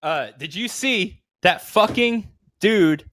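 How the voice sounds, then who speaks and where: A man's voice: high-pitched, animated, slow pace, fairly bright tone. A man co-hosting a podcast in casual, slangy, profanity-laced banter and stories. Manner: profane, slangy bro talk. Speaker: podcast co-host.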